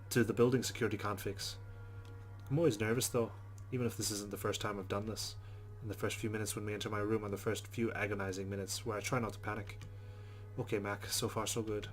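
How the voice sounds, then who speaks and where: A man's voice: on the low side, lightly acted. A man reading the first-person thoughts of a rueful young Irish woman in a visual novel, with a put-on Irish accent.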